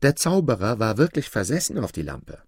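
A man's voice: on the low side, very animated, brisk, darkish tone, slightly rough, dry recording, studio quality, full character voice. A man voicing an impulsive, questioning boy hero in a fairy tale, with an eager manner. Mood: insistent.

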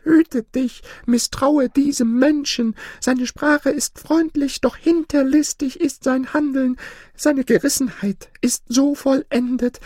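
Frightened, very high-pitched storybook goose. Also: female voice, warm tone, breathy edge, dry recording, clean studio sound. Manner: anxious, dramatic fairy-tale delivery. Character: frightened, breathless female goose telling her tale.

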